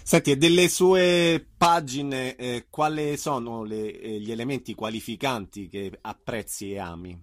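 A man's voice: mid-range voice, a little slow, few pauses. A man hosting a radio interview, introducing guests and asking questions in a friendly, informal way.

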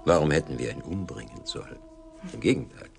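An actor playing a smug, menacing company director in a German radio drama, polished, sardonic and theatrically courteous. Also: male voice, rumbling low, big pitch swings, brisk, creaky, faint music behind, exaggerated voice. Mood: smoothly assured.

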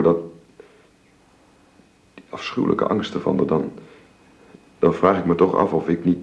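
An actor playing a guilt-ridden married gentleman in a radio drama, speaking formally and haltingly with repeated words. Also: very deep voice, vocal fry, highs cut off.

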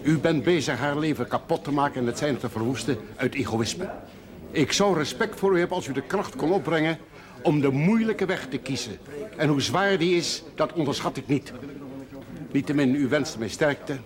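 An actor playing a stern, dignified middle-aged father-in-law, speaking formally and in measured phrases in a television drama. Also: fairly high pitch, natural voice. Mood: stern reproach. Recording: busy crowd of voices behind.

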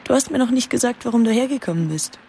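Wry young woman, animated pitch. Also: quick pace, slight background noise. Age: young adult. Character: wry, world-weary young woman living alone. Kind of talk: German radio drama acting.

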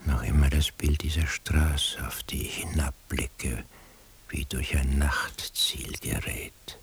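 An actor playing a haunted, pensive man in a radio drama, musing haltingly in a husky, gravelly, hushed voice. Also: extremely low pitch, fairly even intonation, in a whisper, heavily acted. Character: haunted, pensive man straining after faint impressions. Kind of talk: radio drama interior monologue.

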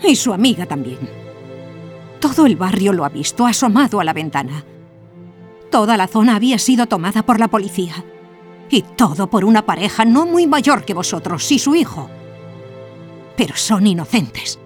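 An actress playing a schoolteacher who speaks gravely and carefully to her pupils in a radio drama. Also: mid-range voice, sing-song, soft background music, natural voice. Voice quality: grave, measured. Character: earnest female schoolteacher, serious and troubled. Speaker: teacher.

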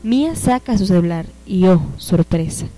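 A woman narrating a youth radio drama with lively storytelling. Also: fairly low pitch, moderate pace, soft background music, lightly acted, poor audio.

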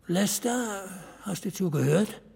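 A man playing a blunt, commanding leader of a small-town men's club, dry and matter-of-fact, with a husky, gravelly voice in an audio drama. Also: high-pitched, animated, slow pace, darkish tone, heavily acted. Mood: brisk, directing. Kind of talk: naturalistic German audio drama acting.

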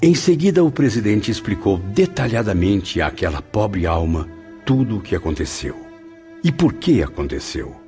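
A man's voice: fairly low pitch, very animated, moderate pace, dark tone, soft background music. A man acting in a radio drama as an earnest spirit who recounts what he witnessed, grave and emphatic. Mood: grave narrating.

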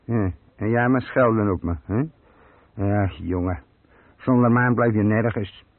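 An actor playing a talkative, self-satisfied older burglar in a radio play, colloquial and boastful. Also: male voice, on the low side, measured pace, no room sound. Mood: smug, scolding. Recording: dry, muffled telephone-like old radio recording.

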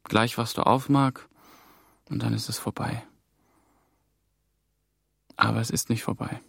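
An actor playing a hunted young male EU trainee who narrates his own story in a tense, hushed inner monologue. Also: mid-range voice, little pitch movement, unhurried, creaky, husky, no echo, studio quality, heavily acted. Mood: anxious. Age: young adult. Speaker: narrator and protagonist. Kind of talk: radio drama inner monologue.

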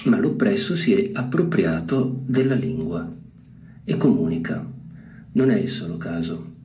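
A man giving informal literary commentary in a reflective, didactic way, with deliberate emphasis. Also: on the low side, sing-song, dark tone, slight background noise.

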